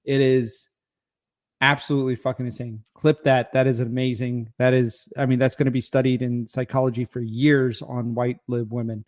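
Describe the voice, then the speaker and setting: A man's voice: mid-range voice, flat delivery, normal speed, a little mellow. A man co-hosting a podcast who talks bluntly and profanely in casual political banter. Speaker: podcast co-host.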